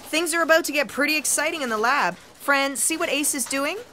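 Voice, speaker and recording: A knowing female editor-in-chief voiced by an actress narrates a comic sci-fi radio play. Her voice sits a little high, and she explains things with matter-of-fact authority. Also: brisk, sharp tone, a little hiss or hum.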